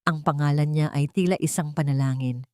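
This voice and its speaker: A woman narrating a scripted first-person dramatic story in measured, short phrases. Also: deep voice, steady tone, a little mellow, clear, resonant tone, no room sound, clean studio sound.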